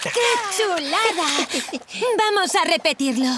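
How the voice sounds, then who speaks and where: A woman's voice: very high-pitched, expressive, slow pace, a bit bright, exaggerated voice. A woman voicing a breezy, friendly young mermaid in a cartoon, carefree and exclamatory. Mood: thrilled. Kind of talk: animated cartoon dubbing.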